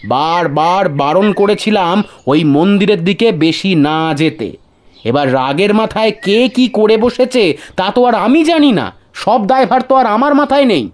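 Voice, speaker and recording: An actor playing a defiant, irritable village man under suspicion of murder in an audio drama. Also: high voice. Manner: challenging, dismissive.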